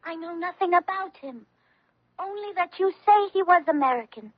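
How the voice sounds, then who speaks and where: A woman's voice: very high-pitched, animated, normal speed, no room sound. An actress playing a devout, steadfast teenage farm girl held prisoner, earnest and resolute, in a radio drama. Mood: earnest, defiant. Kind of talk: old-time radio drama acting. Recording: dull, dry old radio recording.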